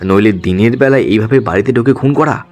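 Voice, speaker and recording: Man playing businesslike police officer, mid-pitched. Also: a little fast, a little mellow, faint music behind, close to an everyday voice. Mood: matter-of-fact, skeptical. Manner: formal, matter-of-fact, authoritative. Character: businesslike male police officer, brisk and skeptical. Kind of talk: audio drama acting.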